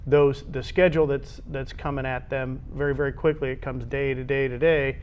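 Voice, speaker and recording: A man's voice: medium pitch, flat delivery, normal speed, highs cut off, a little hiss or hum. An American man co-hosting a casual discussion about sports. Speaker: co-host.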